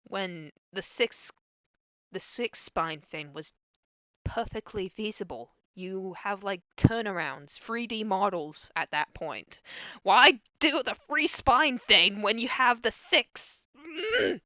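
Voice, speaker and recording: Slow-talking woman getting over a cold. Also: mid-range voice, expressive, pushed and bright, no room sound, highs cut off. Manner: eager fan, laughs often, quick asides. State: recovering from a cold. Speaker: host of a watch-along. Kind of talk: casual chat.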